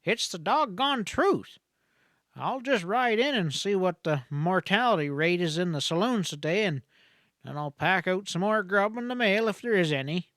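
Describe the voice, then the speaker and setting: Guy doing a folksy old west doctor, higher pitched, cynical but gallant, dry close sound. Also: lively intonation, moderate pace, a bit bright, no room sound. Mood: gallant, breezy. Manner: folksy, drawling, eloquent. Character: wry, cynical frontier doctor, male, talkative and gallant. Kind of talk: Western audiobook character voice.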